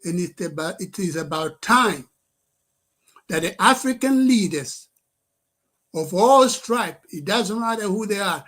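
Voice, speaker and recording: An older man, a native French speaker, speaks in a fairly high voice. He talks slowly and deliberately and keeps repeating his points for emphasis. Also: expressive, fairly bright tone. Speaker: scholar and activist addressing leaders. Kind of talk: livestream address.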